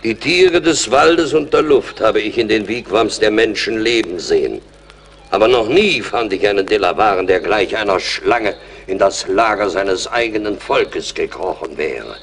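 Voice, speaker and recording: A venerable old chief speaks in a low voice with weary, ceremonious gravity. It is radio play acting, solemn and just, over faint background noise. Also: male voice, expressive, normal speed, a bit bright. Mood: wistful, wondering. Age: older.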